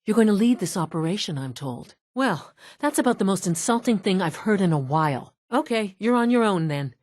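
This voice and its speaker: A woman's voice: medium pitch, lively intonation, a little fast, darkish tone, dry recording, close to an everyday voice. A woman playing a brusque, dry-witted scientist from an underground institute in a video game, businesslike and wry. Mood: dry, curt. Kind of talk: video game character dialogue.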